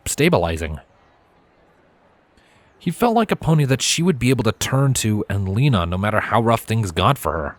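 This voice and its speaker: A man's voice: mid-range voice, no room sound. A man reading a story aloud as narrator, in scripted storybook narration. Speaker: narrator, fan fiction reader.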